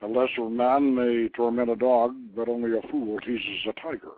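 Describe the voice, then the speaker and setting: An older man hosting a radio show, reading aloud and commenting informally in a deliberate storyteller's manner. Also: medium pitch, fairly even intonation, no echo. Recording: narrow phone-like line, dry.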